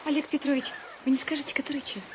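An actress playing a spirited young ship's cook, the captain's niece, in a Russian radio play, with a breathless, exclaiming manner. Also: female voice, high-pitched, level tone, fast, background noise, close to an everyday voice. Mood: curious, innocent. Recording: loud music behind the voice.

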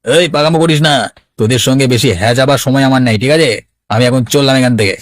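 A man's voice: medium pitch, quick pace, dry recording, clean studio sound, lightly acted. Cartoon village villain , male actor: headman's scheming assistant, taunting and bossy. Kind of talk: Bengali cartoon villain dialogue.

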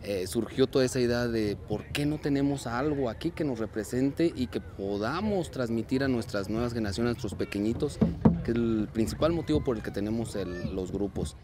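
A man in his thirties from Mexico, a dance and theater group director, speaking earnestly and at a measured pace in an outdoor interview, with frequent fillers and restarts. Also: medium pitch, animated, noisy background. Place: outdoors at a street parade. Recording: outdoor clip with music behind. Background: festive music.

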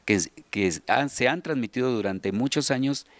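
A middle-aged man with a Guatemalan accent, telling a history conversationally as an interview guest, often pausing to search for words. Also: medium pitch, no room sound. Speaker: interview guest, children's radio theatre deputy director. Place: large tiled hall indoors, group seated behind. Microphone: interviewer's handheld microphone.

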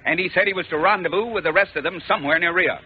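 An actor playing a brisk, decisive space-guard colonel in 1950s radio style, with a clipped, commanding manner. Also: male voice, sits high, natural voice. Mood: urgent, commanding. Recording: tinny, noisy 1950s radio recording.

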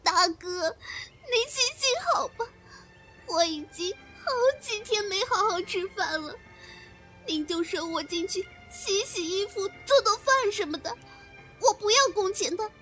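A woman's voice: very high-pitched, animated, measured pace, exaggerated voice. A woman playing a melodramatic, overworked servant girl in a comedy audio drama, whining and exclaiming with cheeky desperation. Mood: pleading. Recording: loud music behind the voice.

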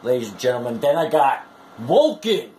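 A man's voice: mid-range voice, sing-song, warm tone, slight background noise. A man in his forties delivering a theatrical wrestling-promo monologue to camera as a bombastic showman, drawing out his words. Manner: bombastic showman, drawn-out words, sudden whoops. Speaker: wrestling fan video host. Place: small room at home.

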